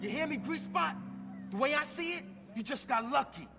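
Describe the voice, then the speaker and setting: Hot-headed racer thug: brash slang, very high excitable pitch, long reverb, blasting music. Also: male voice, moderate pace, creaky, full character voice. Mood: angry, challenging. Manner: brash, aggressive street slang. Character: hot-headed street racer and ex-boxer, itching for revenge. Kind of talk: video game cutscene acting.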